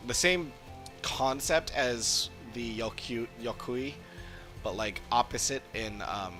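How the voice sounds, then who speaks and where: A man giving casual livestream commentary on a game, haltingly searching for words as he talks. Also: on the low side, pushed and bright. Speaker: game streamer. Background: game music.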